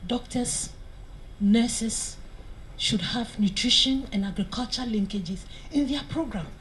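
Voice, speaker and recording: A woman argues her point persuasively during a conference discussion. Her voice sits fairly high. Faint hall noise is audible behind her. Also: slow, fairly bright tone. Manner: emphatic, persuasive. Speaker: conference speaker.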